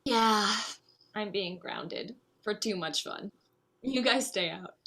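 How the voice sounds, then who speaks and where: A woman's voice: mid-range voice, takes it slowly, gravelly, bright, forceful tone, full character voice. A woman playing a tipsy, playful, needy bride who rambles, in a naturalistic comedy table read. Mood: playful, teasing. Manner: rambling, with frequent "like".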